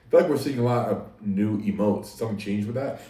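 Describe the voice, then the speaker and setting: A man's voice: lowish voice, fast, crowd noise. A man hosting a casual livestream, talking easily to his chat and laughing often. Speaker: livestream host. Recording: echoey room, mic at a distance.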